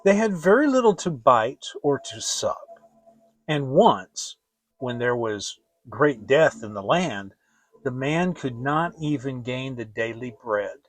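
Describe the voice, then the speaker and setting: A man narrating a fairy tale as a dramatic reading, in a theatrical, deliberate storytelling manner. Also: mid-range voice, expressive, no echo. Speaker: audiobook reader.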